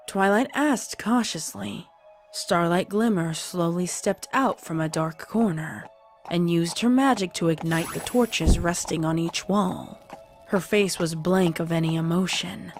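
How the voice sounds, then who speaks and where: A woman's voice: fairly low pitch, unhurried, faint music behind. A woman narrator reading a scripted dramatic story in prose.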